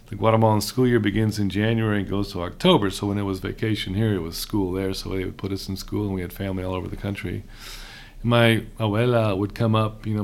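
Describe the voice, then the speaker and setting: A middle-aged man from Houston hosting a relaxed conversation, chatty with frequent hesitations. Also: lowish voice, vocal fry. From raised in Houston. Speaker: host, seminary professor. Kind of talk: casual conversation.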